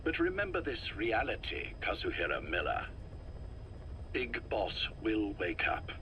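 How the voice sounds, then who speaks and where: A cool, commanding head of a shadowy organization in a game drama. His low voice is deliberate and slow, with a crisp, forceful edge, over faint noise. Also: huge pitch swings, full character voice. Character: calm, calculating older male leader of secret organization. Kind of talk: dramatic video game voice acting. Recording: faint noise, recorded tape audio.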